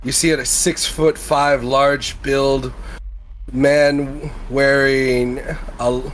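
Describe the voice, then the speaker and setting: A man's voice: medium pitch, level tone, drawn-out words, a little hiss or hum, poor audio. A man playing a tabletop role-playing game, chatting and role-playing in a playful, informal way. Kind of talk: casual chat and role-play at a game.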